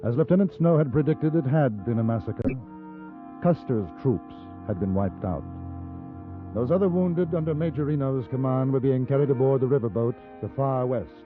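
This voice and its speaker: An actor with a British accent playing a courteous, measured gentleman newspaper correspondent in a 1950s radio drama. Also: mid-range voice, lightly acted. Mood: sombre narration. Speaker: lead actor and narrator. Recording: muffled 1950s radio recording, music behind.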